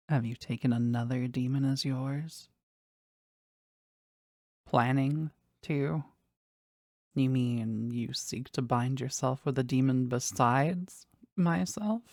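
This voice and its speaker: A woman's voice: very deep voice, a little mellow, dry recording, studio quality, full character voice. A woman voicing a powerful, devoted, possessive demon in an audio roleplay, with formal, intimate phrasing addressed to a master. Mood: probing, jealous. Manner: slow, formal, reverent, intimate delivery. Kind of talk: scripted roleplay audio drama, addressed to the listener.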